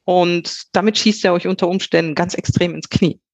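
Male copywriting expert, higher register, dry close sound, direct and blunt. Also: steady tone, moderate pace, no room sound, highs cut off. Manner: direct, punchy, many filler sounds. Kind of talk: advice to listeners in a podcast.

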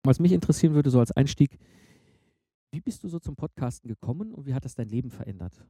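A man interviews a guest in a relaxed, conversational way. His voice is very mellow and sits at a middling pitch. The sound is dry, with no room to it. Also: quick pace, breathy edge, no room sound. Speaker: podcast host, interviewer.

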